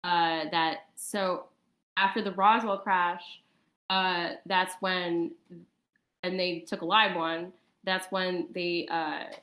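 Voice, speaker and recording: A woman chatting casually and explaining things in a hesitant, halting way, with a roomy echo on the recording. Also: on the low side, level tone, fairly bright tone. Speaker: guest on a talk stream. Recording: roomy, echoing sound.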